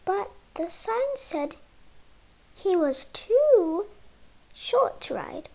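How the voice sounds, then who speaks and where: Girlish female voice reading a kids book out loud, super slow n halting, really up and down. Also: very high-pitched, big pitch swings, creaky. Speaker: storybook reader. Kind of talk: children's picture book read-aloud.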